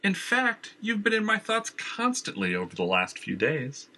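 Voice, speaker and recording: A man's voice: high voice, wildly swooping pitch, moderate pace, bright, forceful tone, voice pushed up, heavily acted. A man voicing a smug, theatrical male theater owner who mocks and feigns hurt in an audiobook story. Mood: mocking. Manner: mocking, falsely wounded.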